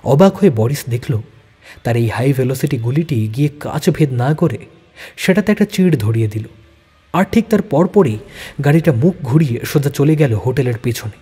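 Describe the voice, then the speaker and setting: A man giving a dramatic scripted narration of a suspense story, with deliberate phrasing. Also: medium pitch, animated, mellow, slightly rough. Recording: long reverb, background music.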